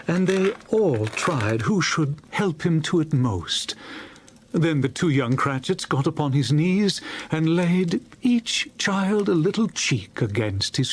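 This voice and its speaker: A man narrating a dramatized story in a solemn, expressive manner. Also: on the high side, a little hiss or hum.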